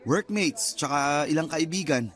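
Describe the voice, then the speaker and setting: A man's voice: fairly high pitch, a little slow, fairly bright tone. A man playing a friendly, upbeat nurse in his thirties in a radio drama, easygoing and eager in conversation. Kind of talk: radio drama dialogue in casual Tagalog. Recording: music bed under dialogue. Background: background music.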